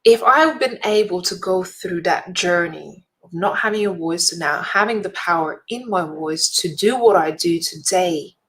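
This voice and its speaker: A woman's voice: on the low side, moderate pace, hardly any pauses, a bit bright, rough recording. Earnest motivational woman speaker. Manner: earnest, inspirational, emphatic repetition. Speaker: speaker and event host. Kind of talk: motivational direct-to-camera talk.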